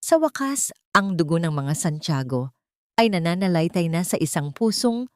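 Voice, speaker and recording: A woman with a fairly low voice narrates a scripted romantic drama. The delivery is that of a dramatic storyteller, and the sound is close and dry. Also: expressive, normal speed, darkish tone, no echo.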